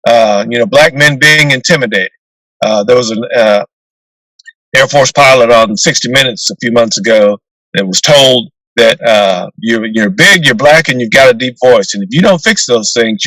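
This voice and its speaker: An older man with a deep voice, a retired naval officer answering interview questions in a measured way with fillers and restarts, over a video call. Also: sharp tone, dry recording, low-quality recording.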